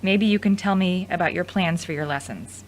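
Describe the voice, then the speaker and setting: A woman's voice: fairly low pitch, normal speed, slight background noise, natural voice. A woman playing a frank, supportive ex-girlfriend in a conversational audio drama. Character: frank, teasing, supportive ex-girlfriend and studio manager.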